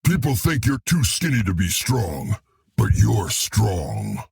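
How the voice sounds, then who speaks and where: A man voicing a hulking, cocky green brute in a video game, gruff and blunt, talking about himself in the third person with a gravelly, husky voice. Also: on the low side, huge pitch swings, takes it slowly, darkish tone, dry recording, clean studio sound, exaggerated voice. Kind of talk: video game character dialogue.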